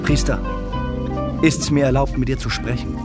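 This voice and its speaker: A man's voice: medium pitch, steady tone, normal speed, over loud music, close to an everyday voice. A man playing a brave, noble young prince, speaking ardent heroic verse in a radio drama. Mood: joyful, ardent. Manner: resolute, heroic declamation.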